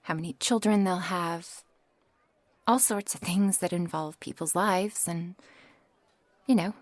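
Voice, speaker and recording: Woman playing a slow-talking, flustered young witch. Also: on the low side, no echo, lightly acted. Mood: earnest, chatty. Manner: halting, breathy asides, nervous little laughs and sighs. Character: flustered, earnest young witch tarot reader. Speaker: ASMR roleplay performer. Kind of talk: ASMR roleplay acting, addressed to the listener.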